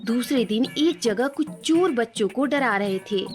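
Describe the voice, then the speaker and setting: A woman's voice: fairly high pitch, lively intonation, measured pace, darkish tone, soft background music. A woman narrating a scripted children's cartoon story.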